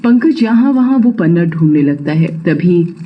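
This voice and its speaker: A man's voice: high-pitched, animated, normal speed, dull audio. A man narrating a scripted horror story in a dramatic, suspenseful storytelling manner. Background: faint music.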